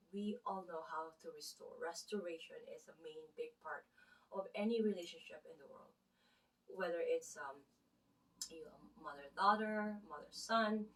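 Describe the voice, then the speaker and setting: A woman's voice: fairly low pitch, a bit bright. A woman with a Filipino accent giving casual spiritual life advice in a rambling way, often checking in with "right?" between her points. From Philippines. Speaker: vlogger. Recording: voice from a distance off the mic.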